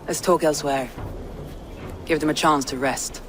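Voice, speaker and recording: A woman's voice: sits low, fairly even intonation, noisy background, natural voice. A woman playing Soma, stern Norse warlord. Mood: determined. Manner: measured, stern and guarded. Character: proud, resolute female Norse warlord, middle-aged. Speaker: Norse lord of a city. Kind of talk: video game cutscene acting.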